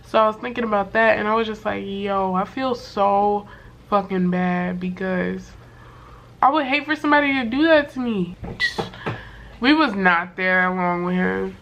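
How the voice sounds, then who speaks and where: A young woman with a voice worn hoarse from sickness, telling a story to camera in a loose, slangy way full of fillers and swearing. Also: mid-range voice, measured pace, a bit bright, a little hiss or hum. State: hoarse from being sick. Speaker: vlogger. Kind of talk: casual storytime chat to camera.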